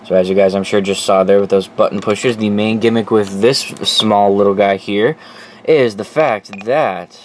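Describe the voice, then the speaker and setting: A man's voice: on the low side, fairly even intonation, normal speed, darkish tone, a little hiss or hum. A man presenting his collection in a casual, off-the-cuff, informal way, sometimes profane. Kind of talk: casual off-the-cuff show-and-tell.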